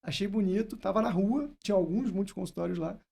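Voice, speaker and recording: A man's voice: sits high, fast, creaky, a little mellow. A Brazilian man hosting a podcast, telling stories and putting questions in a casual, reflective way. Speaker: podcast host. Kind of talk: casual conversational interview.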